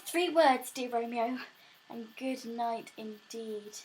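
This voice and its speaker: A woman's voice: a little high, takes it slowly, a little mellow, slight background noise, rough recording. A woman acting a young, lovestruck, earnest and anxious maiden in a Shakespearean audio drama, with theatrical verse delivery, recorded at home on a phone. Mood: urgent, devoted.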